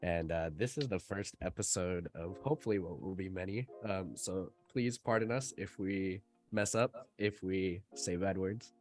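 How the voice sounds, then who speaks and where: Low-voiced young man hosting a podcast. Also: normal speed, few pauses, darkish tone, faint music behind. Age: young adult. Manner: hesitant, many ums, laughs easily. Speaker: host. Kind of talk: casual podcast chat.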